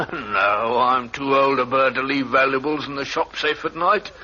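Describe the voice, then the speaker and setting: Husky old shopkeeper, gentle but sly, bright-ish tone, lo-fi old radio. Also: male voice, medium pitch, unhurried. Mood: knowing. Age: older. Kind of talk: 1950s radio drama acting. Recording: dull lo-fi old radio recording.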